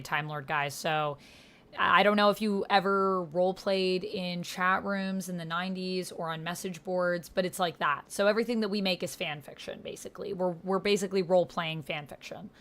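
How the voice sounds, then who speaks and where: A woman's voice: lowish voice, fairly bright tone. A woman streamer talking informally and thinking aloud as she chats with her viewers and plays a text game. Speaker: streamer and tabletop game player. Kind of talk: casual livestream chat, reading out text chat.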